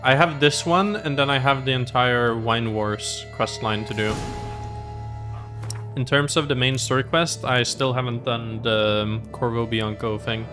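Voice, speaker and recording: Male streamer, average-pitched, casual running commentary on his play, music underneath. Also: unhurried. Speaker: game streamer. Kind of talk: casual live commentary while playing. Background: game music.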